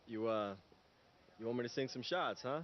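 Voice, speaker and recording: Man as a hesitant, low-key team player. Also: moderate pace, lo-fi sound, a little hiss or hum, natural voice. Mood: guarded, flirting. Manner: hesitant, understated, dry. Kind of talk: naturalistic screen acting.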